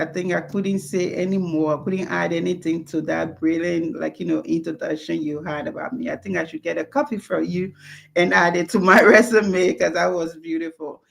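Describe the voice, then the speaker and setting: A woman's voice: lowish voice, vocal fry, a little hiss or hum. A woman from Liberia, telling her life story as an interview guest. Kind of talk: conversational interview.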